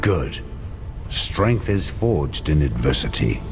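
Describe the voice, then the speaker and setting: Very deep, gravelly grim warrior. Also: male voice, very animated, slow, full character voice. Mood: defiant. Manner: terse, brooding, resolute. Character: grim, battle-hardened male warrior bent on defeating his brother. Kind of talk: dramatic fantasy game acting. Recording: long hall reverb, loud music behind.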